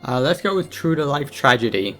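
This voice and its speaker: A man's voice: medium pitch, expressive, fairly quick, pushed and bright. A man doing casual playthrough commentary as a gaming streamer, reading dialogue choices aloud. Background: game music.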